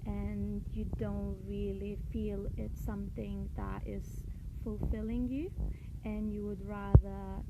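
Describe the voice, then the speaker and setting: A woman's voice: mid-range voice, animated, slow, heavy background noise. A woman with a Lithuanian accent hosting a casual live stream, chatting to viewers with lots of fillers and restarts.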